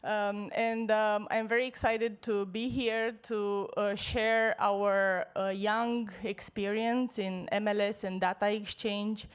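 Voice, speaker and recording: Smooth-voiced woman panelist. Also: mid-range voice, flat delivery, slow pace, hardly any pauses. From Romania. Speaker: panelist, real estate professional. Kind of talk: self-introduction on a panel. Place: conference stage before an audience. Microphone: handheld microphone.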